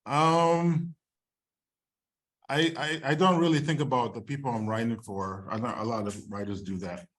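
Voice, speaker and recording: A man's voice: medium pitch, normal speed, fairly bright tone, rough recording. A man speaking as a screenwriter and filmmaker, answering questions informally on an online video call.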